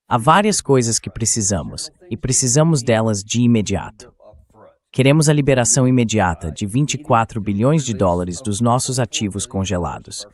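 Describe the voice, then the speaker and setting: A man's voice: medium pitch, animated, moderate pace. A man hosting a commentary show, speaking in a translated voice-over political commentary style.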